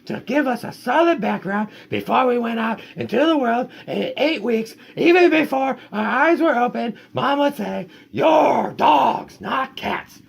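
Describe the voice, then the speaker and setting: A man reading a storybook aloud and voicing a talkative female dog who never stops chatting, in a husky, high sing-song voice. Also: expressive, a little slow, hardly any pauses, heavily acted. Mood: chatty. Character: chatty talking female dog, endlessly rambling. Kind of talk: reading a picture book aloud.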